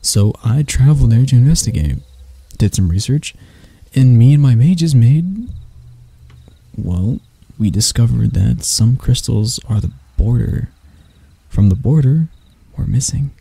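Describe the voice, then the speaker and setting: A very dark, mellow male elf royal, acted by a man, proud and mocking, in an intimate ASMR roleplay. The voice is husky and breathy with a creaky edge, and he lets dramatic pauses hang as he addresses his enemy. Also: medium pitch, expressive, no room sound, exaggerated voice. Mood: grave, explaining.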